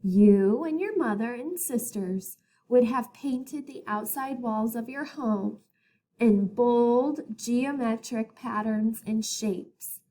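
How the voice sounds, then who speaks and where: A woman reading a picture book aloud as a teacher to children, in a deliberate, expressive storytelling manner. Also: a little high, poor audio.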